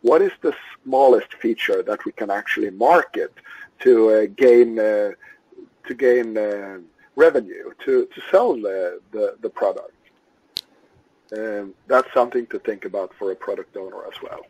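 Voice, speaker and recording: A middle-aged man from Sweden with a Swedish accent, giving a webinar lecture over slides in a measured, explanatory way, with many uh and um fillers. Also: on the low side, darkish tone, slightly rough, poor audio. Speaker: Scrum trainer and webinar presenter.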